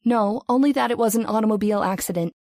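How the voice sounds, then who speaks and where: An actress as a hospital switchboard operator in an old-time radio play. Her medium-pitched voice is clipped, brisk and businesslike, with a dry, close sound. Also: a little mellow, dry recording, lightly acted.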